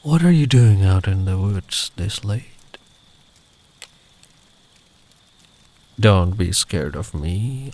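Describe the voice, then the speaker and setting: A man playing a big, kind traveller in the woods in an ASMR comfort roleplay, speaking gently and soothingly, often close to a whisper. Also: lowish voice. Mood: gentle, welcoming. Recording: clear background ambient noise.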